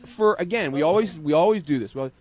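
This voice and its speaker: A man on a radio panel pressing questions in a halting, insistent way amid group banter. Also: a little high, expressive, darkish tone, dry recording.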